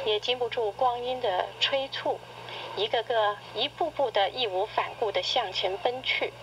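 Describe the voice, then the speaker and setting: A middle-aged woman reading a personal essay in radio drama style, with measured, literary, expressive delivery. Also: on the high side. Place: played back from a cassette recorder speaker. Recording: noisy cassette tape played through a recorder.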